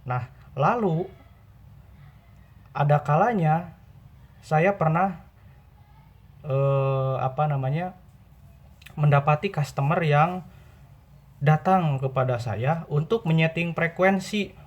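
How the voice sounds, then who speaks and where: A man hosting an informal tutorial, explaining step by step with pauses and repetition. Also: on the high side. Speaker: video host and radio seller. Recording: dull, muffled lo-fi audio.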